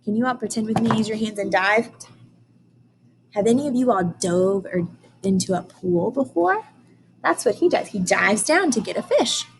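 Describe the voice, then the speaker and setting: A woman's voice: mid-range voice, animated, normal speed, slight background noise, low-quality recording. A woman teacher reading aloud to young children, explaining and asking questions in simple, emphatic language.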